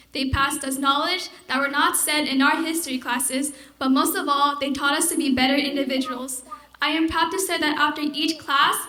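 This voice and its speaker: A high school senior girl gives an earnest, formal speech at a podium mic. Her voice is high and bright, and the theater gives it a roomy echo. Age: teens. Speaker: student speaker. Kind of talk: prepared speech.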